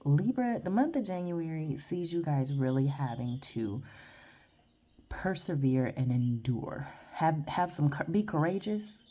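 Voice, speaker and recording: A woman's voice: deep voice, lively intonation, a little slow, warm tone. A woman tarot reader, blunt and chatty, giving a rambling casual reading outdoors while congested and sniffly. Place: outdoors in the early morning.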